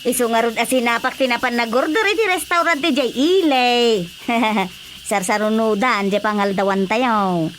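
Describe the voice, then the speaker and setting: Woman acting high-pitched, showy neighbourhood gossip. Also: fairly bright tone, a little hiss or hum, close to an everyday voice. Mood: teasing, breezy. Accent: Filipino. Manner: gushing, teasing, drawn-out exclamations. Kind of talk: radio drama comedy acting.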